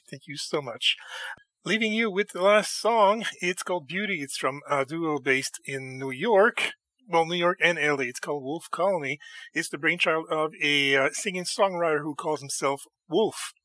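A man hosting a music show in a casual solo monologue, enthusiastic and chatty, his voice slightly hoarse from talking. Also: on the high side, normal speed, sharp tone, no room sound. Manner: enthusiastic and chatty, with frequent laughs.

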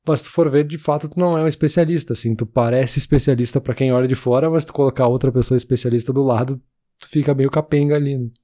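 A man, co-hosting a podcast in relaxed casual chat, full of fillers. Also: medium pitch, quick pace. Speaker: podcast co-host.

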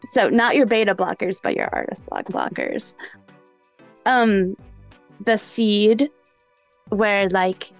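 A woman's voice: medium pitch, wildly swooping pitch, slow pace, gravelly, long pauses. Upbeat woman chatting casually, music behind. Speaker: podcast host.

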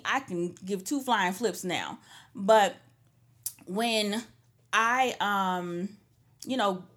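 A woman from Trinidad telling personal stories in a candid, animated way during a casual panel chat, laughing often. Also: medium pitch. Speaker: panel guest.

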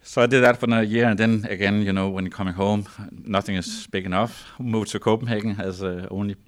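Deep-voiced Danish man chatting. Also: fairly even intonation, quick pace. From Denmark. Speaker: interview guest, engineer. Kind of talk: casual interview conversation.

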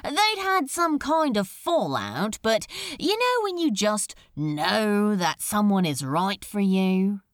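A woman's voice: medium pitch, sing-song, takes it slowly, few pauses, dry recording, clean studio sound. A woman voicing a cocky, nonchalant male demon in a read-aloud story, with a casual, boastful manner.

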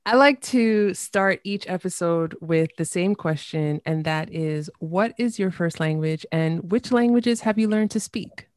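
A woman's voice: fairly low pitch, unhurried, hardly any pauses, darkish tone, dry recording, clean studio sound. A woman hosting a conversational podcast, interviewing a guest about languages.

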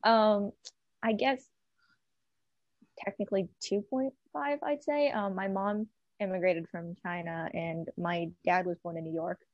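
A woman's voice: medium pitch, steady tone, unhurried, no echo, lo-fi sound. A young woman, speaking casually in a student discussion.

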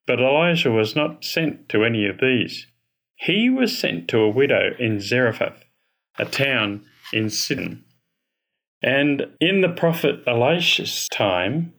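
Guy reading a bible passage out loud, formal storytelling style, average pitch. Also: vocal fry. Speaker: scripture reader. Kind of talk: scripted reading of a gospel passage.

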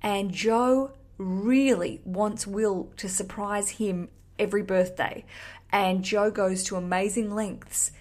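A woman's voice: mid-range voice, steady tone, takes it slowly, a little mellow. A woman hosting a podcast, chatting casually and effusively to listeners in a cheeky, sweary, rambling way. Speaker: podcast host.

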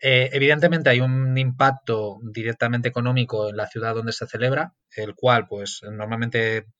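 Spanish man, flat steady delivery. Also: medium pitch, moderate pace, dry recording. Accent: Spanish (Castilian). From Castilla-La Mancha, Spain. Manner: thoughtful, many fillers and restarts. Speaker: guest, sports management lecturer. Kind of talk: conversational interview answers. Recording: dull lo-fi remote audio.

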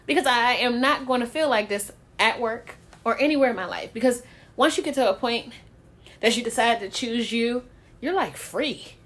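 A woman's voice: on the high side, normal speed, fairly bright tone. A woman talking candidly in a casual chat, blunt and swearing freely, often breaking into laughter.

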